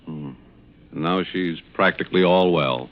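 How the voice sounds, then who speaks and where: An actor playing a mild, placating theatre producer in a 1940s radio drama. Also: male voice, sits low, a little slow. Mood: mild, curious. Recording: dull, noisy vintage radio recording.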